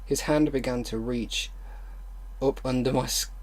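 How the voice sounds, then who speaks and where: A man hosting an online video, reading fan fiction aloud haltingly with exasperated, disbelieving commentary. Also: mid-range voice, fairly even intonation, poor audio.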